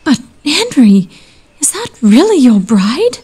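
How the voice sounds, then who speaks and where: An anxious Victorian mother in a gothic radio play, acted by a woman. Her voice is high and swings widely in pitch, fretful and slow-paced, over faint ambient noise. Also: big pitch swings, warm tone, husky, exaggerated voice. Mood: suspicious. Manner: fretful, old-fashioned phrasing. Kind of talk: period audio drama acting.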